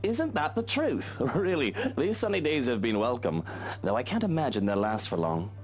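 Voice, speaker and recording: Visual novel lord played by a man: casual flirt, breezy, very animated intonation, light music underneath. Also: mid-range voice, normal speed, natural voice. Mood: breezy, chatty. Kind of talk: visual novel game voice acting.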